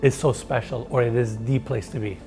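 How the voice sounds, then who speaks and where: A man's voice: medium pitch, fairly even intonation, fairly quick, dark tone, faint music behind. Enthusiastic Turkish-accented male tour host. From Turkey. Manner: enthusiastic, showcasing. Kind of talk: home tour presentation. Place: large house interior.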